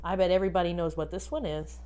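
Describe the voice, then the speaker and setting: A woman teacher reading a picture book aloud to children expressively, pausing to ask them questions and point things out. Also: lowish voice. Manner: animated, addresses young children with questions.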